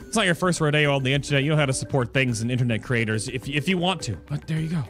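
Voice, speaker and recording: A man's voice: medium pitch, fast talker, a bit bright. A man hosting a casual gaming show in a chatty way, with joking asides and self-interruptions. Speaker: podcast and stream host. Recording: music playing behind the voice. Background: background music.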